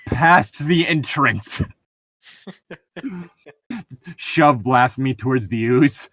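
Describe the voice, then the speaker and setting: A man's voice: mid-range voice, expressive, extremely slow, fairly bright tone, no echo. A man casually reading out and joking about tabletop gaming stories, amused and given to swearing. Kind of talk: casual group banter and story reading.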